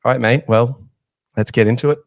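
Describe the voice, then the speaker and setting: A man's voice: mid-range voice, fairly even intonation, fairly quick, creaky, no room sound, clean studio sound. A man hosting a casual sports podcast, chatty and joking at his own expense. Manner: chatty, jokey, self-deprecating. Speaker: podcast host.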